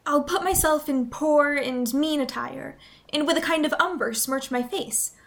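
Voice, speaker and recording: A woman playing a spirited, loyal young noblewoman in a Shakespearean radio play, earnest and expressive. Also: high-pitched, measured pace. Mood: eager.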